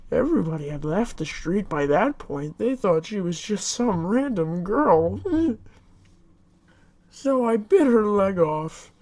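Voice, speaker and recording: A woman's voice: mid-range voice, a little mellow. A woman playing a sadistic killer clown monster in a horror broadcast drama, with childlike mock innocence and giggling menace. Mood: sadistic glee. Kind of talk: horror monologue in a fictional broadcast hijack.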